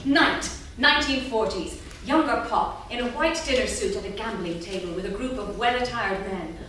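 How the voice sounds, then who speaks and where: A woman's voice: fairly high pitch, normal speed, a bit bright. A woman reading stage directions as scripted narration at a staged drama reading. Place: theatre stage. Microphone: distant room mic. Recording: echoey theatre room, distant mic, hiss.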